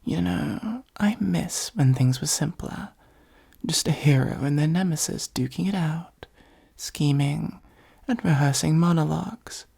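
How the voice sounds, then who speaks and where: Supervillain for ASMR roleplay, acted by a performer: soft, dark and creaky, breathy near-whisper, pompous monologuer with sardonic asides. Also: mid-range voice. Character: theatrical gloating supervillain, secretly fond of the hero.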